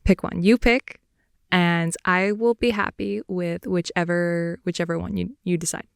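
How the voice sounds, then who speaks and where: Woman playing a playful clingy girlfriend, young, slow drawl, vocal fry, soft intimate rambling, improvised ASMR. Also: fairly low pitch, steady tone, dry recording, studio quality. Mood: playful teasing. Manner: soft, intimate, rambling, giggly, often dropping to whisper. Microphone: close headphone-oriented ASMR mic.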